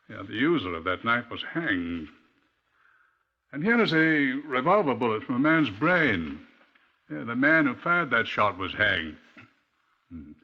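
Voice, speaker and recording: A dull vintage radio recording of a British police curator. His pitch moves animatedly as he muses with grim, dry wit in 1950s radio narration style. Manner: musing, dryly macabre. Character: genial, grimly wry police museum curator.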